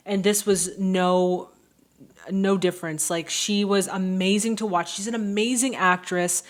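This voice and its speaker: A woman hosting a film reaction vlog, talking casually and emphatically to viewers in a chatty, informal way. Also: medium pitch.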